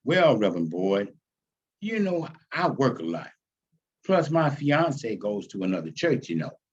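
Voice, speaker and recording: A man's voice: medium pitch, very animated, measured pace, breathy edge, muffled recording, pitched below the performer's own voice. A man voicing a friendly, respectful adult visitor, polite and easygoing, in a storybook reading.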